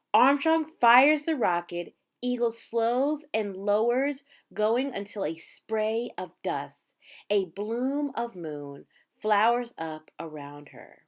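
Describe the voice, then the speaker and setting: A woman reading a children's picture book aloud, with measured, dramatic phrasing and drawn-out words. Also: fairly high pitch. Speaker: storybook reader.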